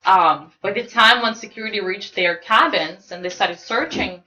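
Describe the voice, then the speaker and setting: A woman from Siberia speaks with a Russian accent as a podcast guest. Her voice is bright and clear at mid pitch, she keeps her answers short and laughs easily, and the audio is a bit muffled. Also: normal speed. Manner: laughs often, brief replies. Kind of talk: casual interview chat.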